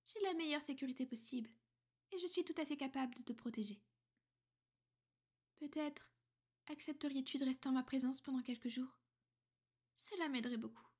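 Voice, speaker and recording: A woman voicing a powerful, protective, ancient vampire noblewoman in an ASMR roleplay, soft-spoken and breathy, formal and measured, slipping into whispers. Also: high voice. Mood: grateful, affectionate. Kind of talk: French ASMR girlfriend roleplay.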